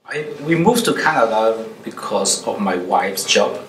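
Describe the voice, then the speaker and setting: A man, speaking in a relaxed, friendly way in a documentary interview. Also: lowish voice, measured pace. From Ecuador. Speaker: immigrant interviewee. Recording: roomy room, distant mic, faint noise.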